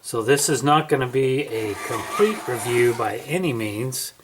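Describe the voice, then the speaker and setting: Male amateur reviewer, folksy and unhurried, thinking aloud at a slow pace, slightly noisy home recording. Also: medium pitch. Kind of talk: casual hands-on unboxing narration. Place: indoors at home.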